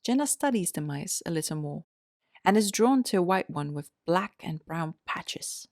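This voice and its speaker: A woman's voice: lowish voice, animated, normal speed, darkish tone, dry recording, clean studio sound. A woman narrating an audio drama in a scripted storybook style.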